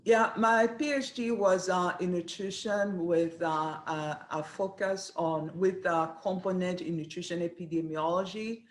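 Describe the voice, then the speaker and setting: Woman in an echoey room. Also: lowish voice. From Rwanda. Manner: earnest, measured, pauses often with "uh". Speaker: dietetics program director, guest. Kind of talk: interview answers.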